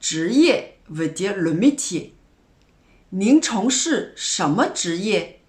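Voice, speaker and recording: A woman giving a scripted language lesson as a teacher, in a didactic, deliberate way, slowly enunciating repeated example phrases. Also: mid-range voice, sing-song, a little mellow. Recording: roomy, echoing voice recording.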